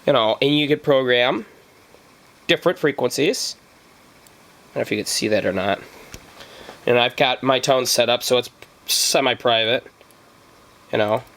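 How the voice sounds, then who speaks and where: A man's voice: mid-range voice, a little slow, pauses often, a bit bright. A man talking informally as a vlogger explaining gear, rambling along and often checking in with "right?" or "okay?". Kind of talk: informal explainer talk to camera.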